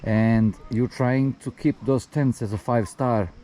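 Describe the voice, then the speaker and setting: A man's voice: medium pitch, steady tone, a little slow, warm tone. A man speaking simple, non-native English as he casually interviews someone as a travel reporter. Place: outdoors at a bush camp. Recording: faint outdoor ambient noise.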